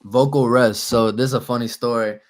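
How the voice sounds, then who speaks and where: A young man from New Orleans with a raspy voice, a rapper telling stories as a guest on a podcast in an easy, relaxed way. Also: medium pitch, fairly quick. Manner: relaxed storytelling with fillers. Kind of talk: casual podcast interview.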